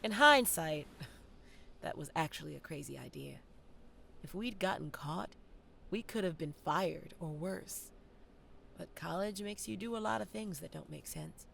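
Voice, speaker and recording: An actress playing a wry, candid young theater graduate in a dramatic first-person audio play monologue addressed to the audience. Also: female voice, lowish voice, gravelly, fairly bright tone. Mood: amused. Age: young adult. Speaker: actor narrating an audio play.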